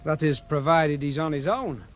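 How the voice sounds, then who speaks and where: A man's voice: a little high, moderate pace, close to an everyday voice. A man acting in a radio drama as a wary, scheming desert guide with a colloquial, matter-of-fact manner. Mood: low, wary.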